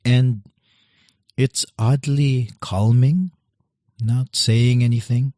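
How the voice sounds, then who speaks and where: A man, hosting a podcast while losing his voice, speaking quietly and hesitantly. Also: medium pitch, steady tone, very slow, dry recording, clean studio sound. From Philippines. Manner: quiet, hesitant, many restarts. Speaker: podcast host. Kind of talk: casual solo podcast intro.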